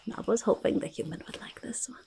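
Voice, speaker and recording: A woman voicing a quirky, treasure-loving goblin in a soft ASMR roleplay, slipping between soft speech and breathy whispers, repeating words in a quaint, halting way. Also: lightly acted. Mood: fascinated, delighted. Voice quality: breathy half-whisper with creaky fry. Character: quirky, treasure-loving, mildly menacing forest goblin, speaking in third person.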